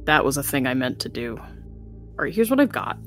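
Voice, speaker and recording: A woman's voice: extremely low pitch, very animated, moderate pace, faint music behind. A woman chatting casually as a player in a tabletop roleplaying game. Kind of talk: casual chat in play.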